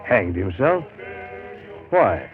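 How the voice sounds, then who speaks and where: An actor playing a brooding seafarer who recounts a jungle voyage in a measured, literary, dramatic 1930s radio style. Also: male voice, low-pitched, sing-song, exaggerated voice. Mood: guarded, curious. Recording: scratchy, narrow-band 1930s radio broadcast.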